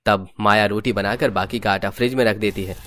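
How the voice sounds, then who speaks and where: A man's voice: fairly low pitch, steady tone, fairly quick, pushed and bright. A man reading scripted storybook narration for a cartoon. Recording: music under the voice.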